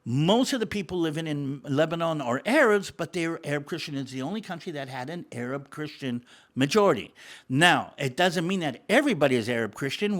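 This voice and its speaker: A man's voice: on the high side, a bit bright. A middle-aged man with an American accent, born in the States and raised in Israel, talking through Middle East events in a casual, explanatory way, with fillers and repeated words. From born in the United States, grew up in Israel.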